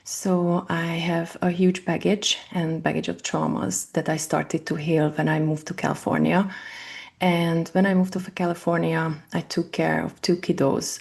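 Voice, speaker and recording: Low, gravelly Hungarian-accented woman. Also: a little mellow. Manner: earnest, slightly halting non-native phrasing. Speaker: guest, family constellation practitioner. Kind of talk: interview conversation.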